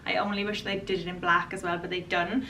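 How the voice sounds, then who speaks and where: A woman in her twenties, a fashion vlogger chatting to camera in a casual, enthusiastic way, her voice a little hoarse from a cold. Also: on the low side, level tone, fast, sharp tone, recorded from a distance, a little hiss or hum, low-quality recording. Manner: chatty, enthusiastic, restarts and repeats words. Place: bright room indoors.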